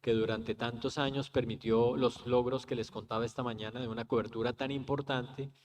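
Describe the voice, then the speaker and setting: A man's voice: mid-range voice, steady tone, a little fast, noisy background. A man from Colombia moderating a conference panel, formal and explanatory as he sets out figures before handing over the floor. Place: conference auditorium.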